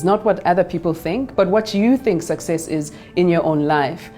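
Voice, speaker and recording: A woman from South Africa in her thirties speaks to camera in a studio. Her voice sits low and mellow. She talks with calm confidence, and faint music plays behind her. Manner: confident, measured, motivational. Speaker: business speaker and advisor. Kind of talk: personal story to camera. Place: studio with pink backdrop.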